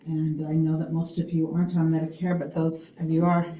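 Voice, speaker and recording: A woman giving a loud, chatty update on senior events at a city committee meeting. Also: low-pitched, completely flat delivery, brisk, reverberant, rough recording. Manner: loud, chatty, laughs often. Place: city hall meeting room.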